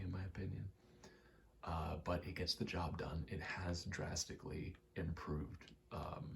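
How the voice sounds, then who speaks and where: A man's voice: low-pitched, vocal fry, a little hiss or hum. A young American man in his twenties rambles in a casual, candid ASMR chat, swearing now and then. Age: 20s. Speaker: ASMR rambler and aspiring actor.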